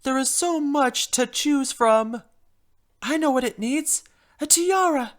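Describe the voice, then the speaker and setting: A woman's voice: on the high side, measured pace, close to an everyday voice. Woman acting as dramatic female pony dressmaker, refined, theatrical, fretful, storybook character. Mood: panicked, dramatic. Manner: theatrical, genteel, uses "darling". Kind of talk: first-person fan story narration in character.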